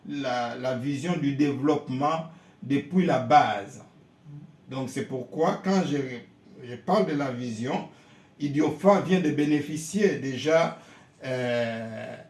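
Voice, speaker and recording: A man from Congo, a pastor answering interview questions in a slow, halting way and often repeating his words. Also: mid-range voice, darkish tone. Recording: roomy echo.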